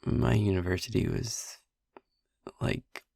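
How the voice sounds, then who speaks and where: A man playing a gentle vampire boyfriend in an intimate audio roleplay, confiding a painful past in a soft, halting way. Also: fairly even intonation, no room sound, heavily acted. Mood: wistful, reminiscing. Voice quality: husky, gravelly, breathy low voice.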